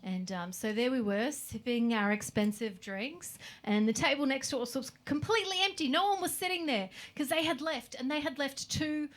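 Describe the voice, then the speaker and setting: High-voiced sweary Australian woman comic. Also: moderate pace, hardly any pauses, fairly bright tone. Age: 40s. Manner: brash, sweary, self-mocking punchlines. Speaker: stand-up comedian. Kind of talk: stand-up comedy set. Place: comedy club stage. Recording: live club audio, audience noise. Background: audience laughter.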